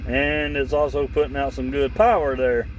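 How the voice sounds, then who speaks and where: A man's voice: mid-range voice, flat delivery, moderate pace, poor audio. A man giving a casual, rambling, folksy hands-on demo of gear, recorded on a camera mic in or beside a vehicle outdoors. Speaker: vlogger demonstrating radio gear. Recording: clear background noise, car and outdoor sounds.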